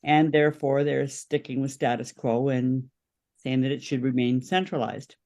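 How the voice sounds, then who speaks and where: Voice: mid-range voice, moderate pace, darkish tone. A podcast guest, speaking as a political campaign representative and explaining positions in an interview.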